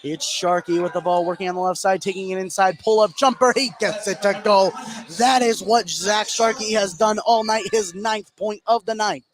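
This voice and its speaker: An American man doing live basketball play-by-play, getting excited and stretching his words on big plays. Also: high-pitched, normal speed. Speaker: sports play-by-play announcer. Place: indoor high school gym. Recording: clear gym noise behind the voice. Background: gymnasium ambience.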